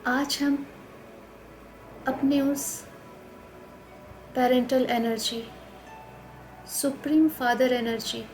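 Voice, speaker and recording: An Indian woman guides a meditation in a fairly high voice, soothing and instructional. She speaks in slow, spaced phrases. Clear background noise sits behind her. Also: fairly even intonation, poor audio.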